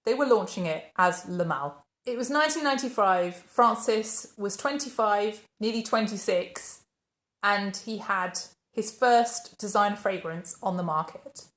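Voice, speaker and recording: A woman's voice: mid-range voice, roomy sound. A woman, hosting her channel and narrating a life story in a scripted way, posing questions and then answering them. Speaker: channel host.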